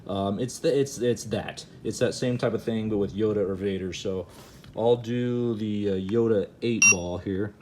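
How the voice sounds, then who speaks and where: A man's voice: lowish voice, moderate pace. A man giving an informal hands-on gadget demonstration, half talking to himself as he works. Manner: informal, talks to himself while working. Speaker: video presenter demonstrating a gadget. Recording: clear background noise, handling clicks.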